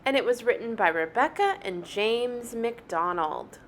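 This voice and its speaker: A woman reading a picture book aloud like a teacher, with animated, deliberate phrasing meant for young children. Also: fairly high pitch, a little hiss or hum. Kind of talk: expressive children's story read-aloud.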